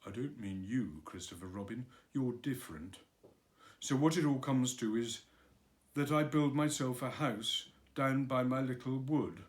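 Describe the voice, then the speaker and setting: A man's voice: mid-range voice, measured pace, close to an everyday voice. A man voicing a gloomy, grumbling male donkey in a storybook reading, sighing and self-pitying.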